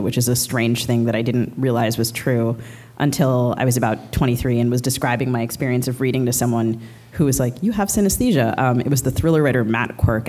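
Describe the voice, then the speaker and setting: A woman's voice: a bass voice, a little mellow. A woman co-hosting a live literary podcast interview, speaking in a thoughtful, discursive way. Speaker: podcast co-host. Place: live on stage at a book fair.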